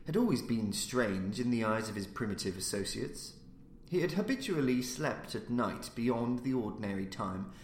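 A man reading classic horror fiction aloud as scripted audiobook narration, in a measured, formal storytelling manner. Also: medium pitch, slight background noise. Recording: roomy, echoey indoor sound.

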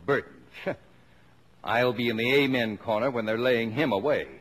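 An actor playing a bossy, cocksure middle-aged father of many children in 1950s American radio drama, brisk and commanding. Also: medium pitch, fairly bright tone, husky. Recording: noisy 1950s radio broadcast.

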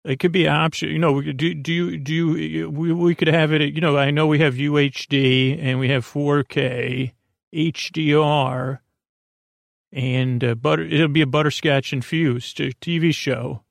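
Gravelly, rambling American man, bedtime podcast. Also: on the high side, steady tone, dry recording, clean studio sound. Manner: rambling, halting, dreamy, whimsical. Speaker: podcast host. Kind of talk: meandering bedtime monologue to help listeners sleep.

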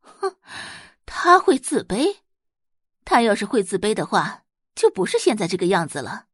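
A woman voicing a scornful, sharp-tongued middle-aged mother who talks in a sneering way, in an audio drama. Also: medium pitch, sing-song, normal speed, slightly rough, dry recording, studio quality. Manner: sneering, contemptuous.

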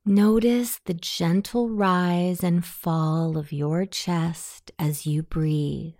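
Female meditation guide, soothing and extremely unhurried, mellow tone, close dry sound. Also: fairly low pitch, steady tone, no room sound. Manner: soothing, measured, long pauses between phrases. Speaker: hypnosis session guide. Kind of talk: guided meditation, self-hypnosis narration.

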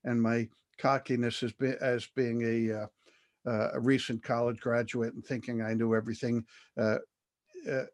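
Older man, interview guest, measured storytelling, thoughtful pauses, plain ordinary voice. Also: mid-range voice, level tone. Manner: measured, thoughtful, with frequent fillers and restarts.

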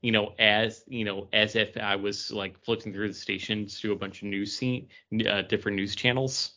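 A man's voice: fairly low pitch, little pitch movement, moderate pace, bright, forceful tone, dull audio. A man co-hosting a podcast, talking casually and giving his opinions on what he reviews. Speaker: podcast co-host reviewing comic books.

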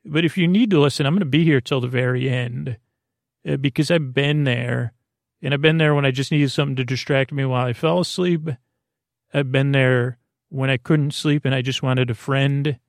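A man hosting a sleep podcast with an improvised bedtime monologue, meandering, halting and mildly self-deprecating, with a creaky voice. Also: medium pitch, dry recording, studio quality. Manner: meandering, halting, often trailing off mid-sentence.

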